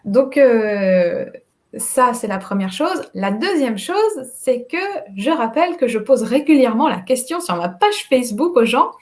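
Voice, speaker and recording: A woman's voice: fairly high pitch, expressive, a little slow. A woman hosting a live chat as an author, talking chattily and hesitantly with frequent fillers. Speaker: author host.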